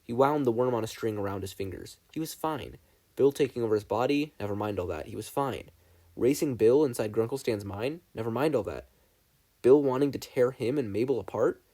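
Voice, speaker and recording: A man narrating fan fiction from a script in an expressive storytelling style. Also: mid-range voice, a little fast. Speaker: podfic narrator.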